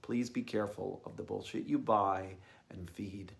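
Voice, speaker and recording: Man, slightly low pitch, fry in the voice, reflective host monologue, tentative, thinking aloud. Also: fairly even intonation, normal speed. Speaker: podcast host. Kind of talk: reflective personal monologue to listeners.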